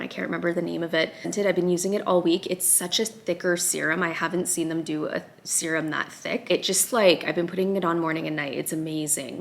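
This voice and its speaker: A woman in her thirties, slightly raspy and half awake, chatting in a casual home vlog, upbeat and full of "like" fillers. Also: fairly low pitch, slight background noise. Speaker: lifestyle vlogger.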